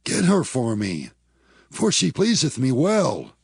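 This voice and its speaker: A man's voice: medium pitch, huge pitch swings, slow, creaky, a little mellow, husky, heavily acted. An actor playing a bold, headstrong young Israelite strongman in a Bible audio drama, speaking assertively. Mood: insistent.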